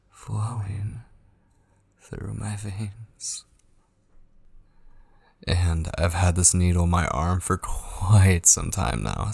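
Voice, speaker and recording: An obsessive yandere kidnapper, played by a man, murmurs in a low, gravelly voice for an ASMR roleplay. He is possessive and sweetly menacing, coaxing slowly and often sinking toward a husky whisper, very close and dry on the mic. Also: darkish tone, no room sound, exaggerated voice. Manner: slow, coaxing, teasing endearments. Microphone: close studio microphone, dry.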